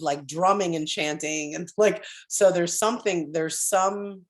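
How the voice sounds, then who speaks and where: A woman with a deep voice telling a rambling story on a video call from home, with many restarts and throat clearing. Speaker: spiritual group member sharing updates. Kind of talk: casual chat on a group call. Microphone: computer on a video call.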